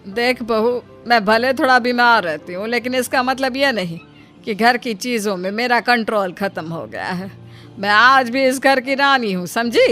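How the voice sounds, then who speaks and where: A woman's voice: on the high side, moderate pace, pushed and bright, soft background music. A woman voicing a possessive, ailing middle-aged mother-in-law in a cartoon, talking in a bossy, complaining way. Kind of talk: cartoon voice acting.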